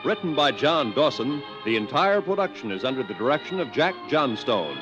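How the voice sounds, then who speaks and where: A man's voice: medium pitch, big pitch swings, normal speed, highs cut off, music in the background. A man delivering formal, sonorous 1950s radio announcements.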